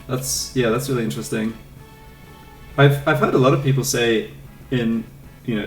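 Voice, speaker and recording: A man's voice: medium pitch, echoey room, distant mic, poor audio. A young man from England with an English accent, casually chatting with his live chat while streaming a game, rambling and answering questions.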